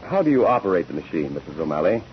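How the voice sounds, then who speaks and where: A man's voice: low-pitched, sing-song, very fast. An actor playing a methodical male courtroom examiner in 1940s radio style, questioning in a formal, probing way. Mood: brisk, methodical. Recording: dull, noisy 1940s radio recording.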